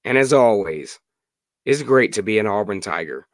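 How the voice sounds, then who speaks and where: Hoarse man chatting on a sports live stream. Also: fairly low pitch, normal speed, dry recording, studio quality. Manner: animated, chatty, reads and answers viewer comments. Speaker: podcast host.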